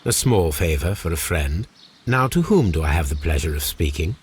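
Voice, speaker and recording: An actor playing an eccentric, cerebral Victorian English gentleman detective in a video game, speaking formally with precise, dry wit. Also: rumbling low, animated, normal speed, slightly rough, heavily acted. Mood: polite, inquiring. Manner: formal, precise, dryly witty.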